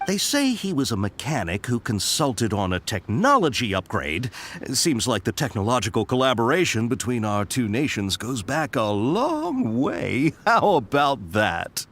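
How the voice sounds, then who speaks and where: An actor playing a jovial, quirky, chatty technician in a video game, with a jaunty, theatrical manner. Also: male voice, mid-range voice, very animated, measured pace, fairly bright tone, slight background noise. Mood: jovial, explanatory. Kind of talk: animated video game voice acting.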